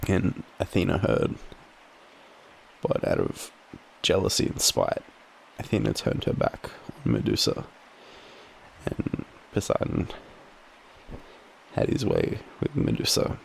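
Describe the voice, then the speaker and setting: A young Australian man in a drowsy, halting, casual boyfriend roleplay, murmuring softly as he rambles through a story with slangy swearing. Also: deep voice, wildly swooping pitch, creaky, low-quality recording. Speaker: audio roleplay performer playing a boyfriend. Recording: faint background ambient noise.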